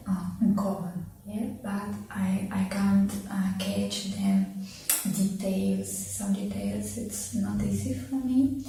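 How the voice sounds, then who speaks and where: Slow, halting woman. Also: medium pitch, dark tone. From Russia. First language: Russian. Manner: halting, searching for words with many fillers. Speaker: interviewee, immigrant learning English. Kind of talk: casual conversation. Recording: echoey room, far from mic, faint noise.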